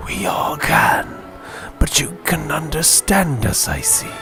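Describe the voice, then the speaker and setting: A performer of unclear sex voicing a friendly, sly little talking snake in a hushed whisper for a comic audio story. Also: mid-range voice, huge pitch swings, slow, gravelly, soft background music, voice pushed down, full character voice. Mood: sly, casual.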